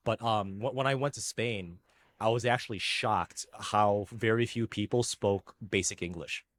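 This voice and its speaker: Enthusiastic man, low voice. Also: normal speed, fairly bright tone. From United States. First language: Filipino. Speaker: tennis coach and channel host. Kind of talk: casual chat.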